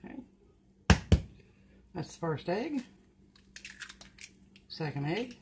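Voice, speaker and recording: An older woman at home giving plain, unhurried step-by-step cooking instructions in her kitchen. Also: fairly low pitch, big pitch swings. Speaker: home cook. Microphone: camera at the counter, off-screen speaker. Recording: noisy home recording. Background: mixer and kitchen clatter.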